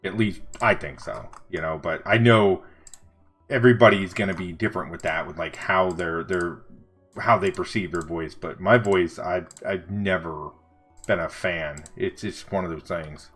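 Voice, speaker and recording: A middle-aged man with a deep voice, chatting casually on a livestream as he draws and answers chat. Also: normal speed, soft background music. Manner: relaxed, rambling, reads and answers chat. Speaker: livestreaming vector artist. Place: home studio desk.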